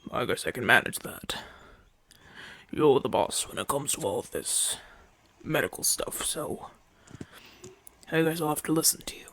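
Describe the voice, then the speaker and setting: A man's voice: medium pitch, animated, normal speed, long pauses, pushed and bright, slight background noise. A man playing a proud, impatient, flirtatious champion gladiator in pain, brusque and grumbling, in an ASMR audio roleplay. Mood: reluctantly compliant. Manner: brusque, demanding, grumbling, sighing through pain.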